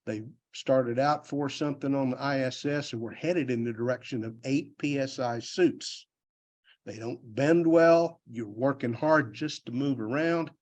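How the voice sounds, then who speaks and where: A man's voice: medium pitch, unhurried, darkish tone. An older retired man with a Texas accent gives a plainspoken, rambling technical talk at a convention, joking about himself along the way. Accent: Texan. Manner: plainspoken, self-deprecating, loose and rambling. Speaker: conference speaker. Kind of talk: folksy technical presentation. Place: university convention hall.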